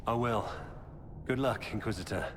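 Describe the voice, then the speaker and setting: A man's voice: on the low side, normal speed, lightly acted. A man playing a grave former knight turned mine informant in a video game cutscene. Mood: urgent. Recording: very echoey hall, clear background noise.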